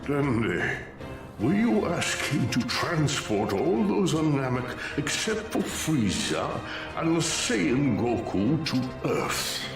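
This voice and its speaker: Slow, creaky old alien elder. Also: male voice, medium pitch, sing-song, music in the background. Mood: solemn, urgent. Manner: slow, grave, measured delivery. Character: aged, gentle, solemn alien village elder patriarch. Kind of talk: video game cutscene acting.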